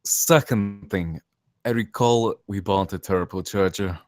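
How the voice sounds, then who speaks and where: A man's voice: low-pitched, unhurried, a little mellow, dry recording, clean studio sound. A man playing a surly, demanding spaceship boss at a screenplay table read. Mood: deadpan menace.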